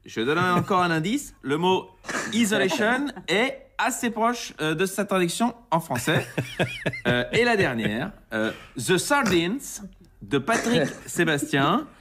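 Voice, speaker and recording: A comedian presenting a joke quiz on a radio show in a jokey, deadpan way. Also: medium pitch, a little slow, a little hiss or hum. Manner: jokey, deadpan quiz delivery with bursts of laughter. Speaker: radio columnist. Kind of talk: comic radio bit.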